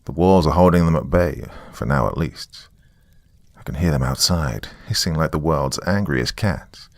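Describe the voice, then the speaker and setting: Man playing deep, gravelly, weary small-town survivor. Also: expressive, normal speed, dry recording, exaggerated voice. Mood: uneasy, resigned. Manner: rambling, wry, colloquial. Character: weary, sardonic small-town man trapped in his basement. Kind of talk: dramatic monologue as a taped confession.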